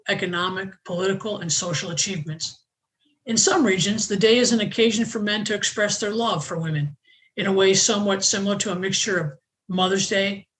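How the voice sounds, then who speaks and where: A woman hosting an online panel, giving a measured, scripted welcome and introduction. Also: mid-range voice, a bit bright, poor audio. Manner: measured, reading from a prepared script. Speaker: host.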